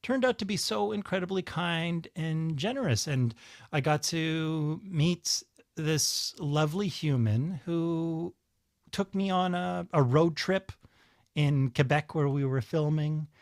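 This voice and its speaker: A man hosting an interview show, speaking earnestly and effusively, with animated asides. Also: a little high, slow, gravelly, no echo, clean studio sound. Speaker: podcast host. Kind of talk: casual interview conversation.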